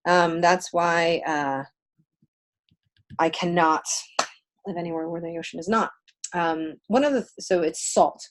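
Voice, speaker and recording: A woman with a slightly low voice, a native Spanish speaker. She co-hosts a casual spiritual healing livestream, giving readings conversationally, with emphatic phrasing and pauses for effect. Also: noisy background.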